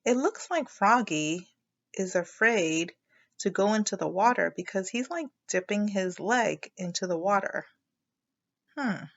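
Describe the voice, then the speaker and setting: A woman's voice: mid-range voice, lively intonation, unhurried, lo-fi sound. A woman teacher leading a children's read-aloud lesson, explaining as she thinks aloud and prompting listeners with questions.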